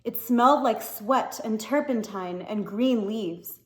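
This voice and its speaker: A woman reading a novel aloud as an expressive story narrator. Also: a little high, slow. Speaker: story reader. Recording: echoey, reverberant room.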